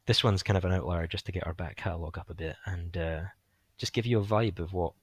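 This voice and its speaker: A young man hosting a casual film podcast, rambling with lots of fillers, his voice going hoarse. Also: low voice, steady tone, fast, no echo, clean studio sound. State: voice getting hoarse. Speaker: podcast host.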